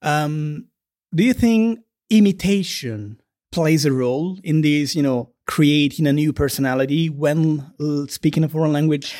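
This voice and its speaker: A man's voice: a little high, unhurried, a little mellow, no room sound, studio quality. A man co-hosting a podcast in casual conversation, hesitant and restarting words with many "uh"s. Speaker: podcast co-host.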